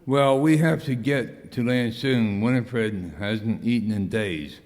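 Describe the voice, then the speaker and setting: A man's voice: medium pitch, takes it slowly, a little mellow, lightly acted. A man playing a fussy, protective castaway in an amateur radio comedy skit. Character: fussy, protective male castaway devoted to pet. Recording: echoey hall.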